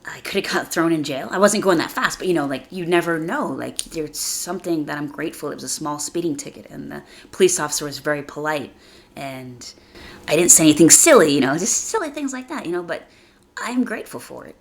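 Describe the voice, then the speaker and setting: Female podcast host, deep register with gravelly fry, a bit congested from a chest cold; earnest, informal motivational monologue. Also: animated, brisk. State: slightly raspy from a chest cold.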